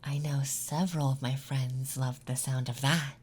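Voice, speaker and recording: Woman as a low, whispery femboy classmate. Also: steady tone, moderate pace, darkish tone, a little hiss or hum. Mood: gentle, intimate. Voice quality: breathy, whispery. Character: friendly, playful young femboy classmate. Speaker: ASMR performer. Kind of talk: ASMR roleplay, intimate whispering and soft speech. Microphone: close ASMR microphone.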